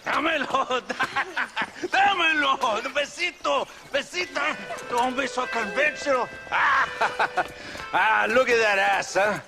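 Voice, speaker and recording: A man's voice: very high-pitched, slow, gravelly, pauses often, heavily acted, low-quality recording. A man playing a lecherous, menacing soldier with a Mexican accent in a Western video game. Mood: leering. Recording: loud music underneath.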